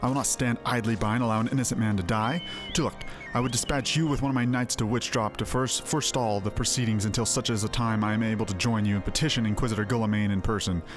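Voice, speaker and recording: A man's voice: on the low side, fairly even intonation, quick pace, hardly any pauses, natural voice. A man voicing an earnest noble lord in a fantasy game, speaking formally and resolutely. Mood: urgent, resolute. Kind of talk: fantasy game dialogue read aloud. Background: game music.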